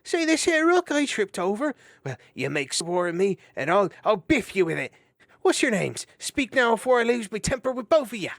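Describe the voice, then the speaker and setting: A man doing a squeaky, cheeky little hedgehog boy. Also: sing-song, normal speed, gravelly, fairly bright tone, no echo, studio quality, full character voice. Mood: indignant. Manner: rustic dialect, bristling and impudent. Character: small cheeky young male hedgehog thief.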